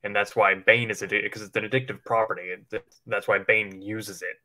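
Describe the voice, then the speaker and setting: A man with a fairly low voice and a bright, forward edge. He talks like a livestream co-host in casual, rambling conversation, informal and loose in his wording. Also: flat delivery, fairly quick. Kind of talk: casual chat speculating about films.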